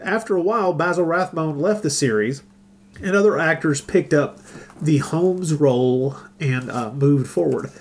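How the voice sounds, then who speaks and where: A man's voice: a little high, a little mellow. A man hosting a podcast in a casual, chatty, rambling and informal way. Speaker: podcast host. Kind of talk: casual podcast introduction and chat.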